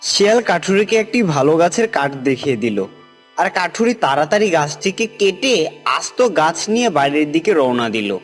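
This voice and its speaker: A man's voice: on the high side, very animated, moderate pace. A man narrating a scripted children's moral story as the storyteller. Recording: loud music behind the voice.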